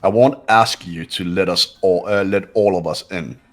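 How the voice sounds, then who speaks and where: A man's voice: low-pitched, moderate pace, natural voice. A man voicing a cold, haughty, condescending young man who gives orders in a fan-voiced visual novel game. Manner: condescending, clipped.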